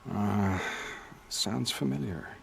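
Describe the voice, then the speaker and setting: Cautious adviser, creaky low voice, deliberate, video game cutscene. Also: male voice, fairly bright tone, a little hiss or hum, exaggerated voice. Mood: pondering. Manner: deliberate, measured.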